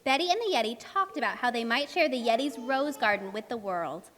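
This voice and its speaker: A woman tells a story to young children at a live puppet show. Her high voice is expressive and rings through an echoey hall. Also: fairly quick. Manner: expressive, addresses young children with simple questions.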